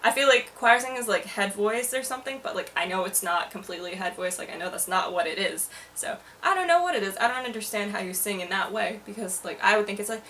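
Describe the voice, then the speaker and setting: A woman's voice: mid-range voice, fast, hardly any pauses, bright, forceful tone, a little hiss or hum. Hesitant young woman, distant-mic vlog. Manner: hesitant, self-deprecating, informal. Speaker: vlogger. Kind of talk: casual confessional talk to camera.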